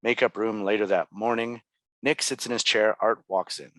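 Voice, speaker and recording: A man's voice: on the low side, steady tone, moderate pace, no room sound. A man reading out scene descriptions as the narrator of a script. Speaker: stage directions narrator.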